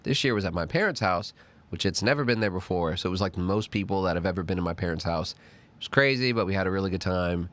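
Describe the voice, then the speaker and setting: A man hosts a laid-back podcast. His voice sits fairly low and comes out nasal and stuffed up from a cold. He talks eagerly, his pitch leaping up whenever he stresses a point. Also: fast talker. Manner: animated and talkative, pitch jumping up on emphasis. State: congested from a cold. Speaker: podcast host. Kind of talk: casual chat.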